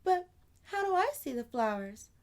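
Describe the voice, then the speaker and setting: A woman's voice: high voice, big pitch swings, normal speed, voice pushed up. A woman voicing a curious little girl with a plaintive, wondering manner in a children's storybook reading. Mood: eager, curious. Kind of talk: children's storybook read-aloud.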